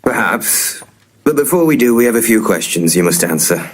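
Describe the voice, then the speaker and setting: An actor playing a pompous, eccentric paranormal investigator, formal and deadpan, in a comic audio play. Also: male voice, fairly low pitch, moderate pace, slight background noise. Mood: officious. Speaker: actor in an audio comedy drama. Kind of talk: audio play dialogue, dry comedy.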